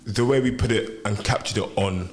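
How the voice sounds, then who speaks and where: Young host, low-ish, energetic slang, echoey studio. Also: male voice, brisk. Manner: hyped, slangy, repeats for emphasis. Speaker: radio host. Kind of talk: casual interview chat. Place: radio studio.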